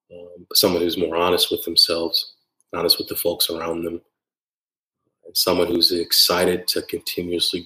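Deep, flat-voiced man hosting a podcast. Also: roomy sound, rough recording. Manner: earnest, repeats phrases for emphasis; frequent tag "right?". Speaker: podcast host. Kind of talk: solo podcast monologue.

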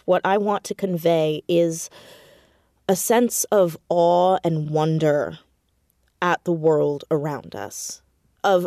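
A woman being interviewed as an audio drama creator, talking conversationally in an expressive, effusive way. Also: lowish voice, no echo, studio quality. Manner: expressive, effusive, acts out quoted dialogue. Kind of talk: animated conversational interview, storytelling with acted quotes.

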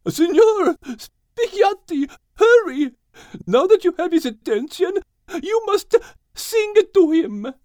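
A man's voice: very high-pitched, sing-song, takes it slowly, a little mellow, no room sound, clean studio sound, pitched above the performer's own voice, full character voice. A man voicing an urgent, pleading female horse with an Italian accent in an audiobook. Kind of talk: audiobook character acting.

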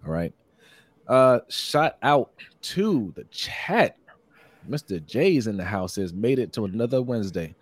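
A hoarse man hosting a casual live-streamed sports podcast, upbeat and repetitive, reading out viewer comments and giving shout-outs. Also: medium pitch, very animated, measured pace, dry recording. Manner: upbeat, repeats phrases, many shout-outs. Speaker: podcast host.

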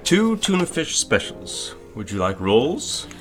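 A man's voice: medium pitch, wildly swooping pitch, slow, music in the background. A man playing a formal, courteous waiter, stiff and deferential, in a period audio drama.

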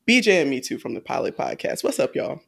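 Lively woman podcast host, wide-ranging pitch. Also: quick pace, vocal fry. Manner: lively, teasing, laughs a lot. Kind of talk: casual podcast chat.

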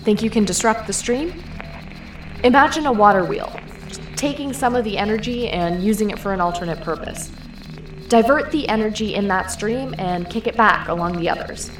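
A woman's voice: medium pitch, moderate pace, slight background noise, natural voice. A woman playing a take-charge, foul-mouthed heist team leader in an audio drama, giving directions. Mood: calm, coaching. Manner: directing, coaching, sweary. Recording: roomy echo, faint music underneath.

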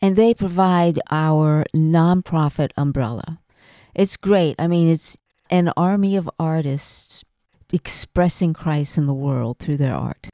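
Low, mellow middle-aged woman co-host. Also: slow, no echo, clean studio sound. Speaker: podcast co-host and ministry performer. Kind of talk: conversational appeal to listeners.

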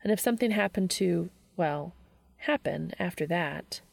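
A woman's voice: fairly low pitch, lively intonation, a little slow, creaky, dry recording. A woman reading scripted fiction as a podfic narrator.

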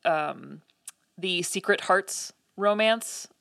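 A woman's voice: medium pitch, extremely slow, gravelly, fairly bright tone, dry recording, clean studio sound. A woman co-hosting a casual podcast, talking thoughtfully with many ums and likes. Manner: thoughtful, many fillers and hedges. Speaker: podcast co-host.

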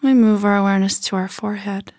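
Woman, mid-range pitch, guided meditation, gentle unhurried delivery, soft phrase endings, dry recording. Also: steady tone, no echo. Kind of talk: slow guided body scan meditation.

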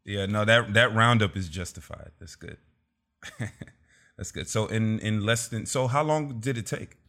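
Male podcast host, low register, relaxed and talkative, interviewing remotely. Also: measured pace. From Los Angeles. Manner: laid-back, says "man" a lot, laughs often. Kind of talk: casual video-call interview. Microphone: remote call.

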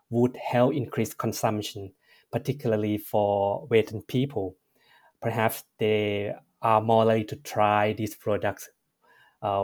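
A man from Thailand with a Thai accent, answering interview questions as a researcher, pausing often with fillers and correcting himself. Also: fairly low pitch, a little mellow. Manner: measured, frequent "uh" fillers and self-corrections. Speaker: researcher guest.

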